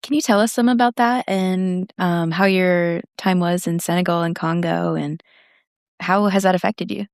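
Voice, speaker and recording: A teenage girl chats loudly and talkatively as a podcast co-host. Her voice sits a little low for a woman. The sound is dry, with no room echo. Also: steady tone, normal speed, creaky. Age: teens. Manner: chatty and loud, laughs between stories. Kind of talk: casual chat.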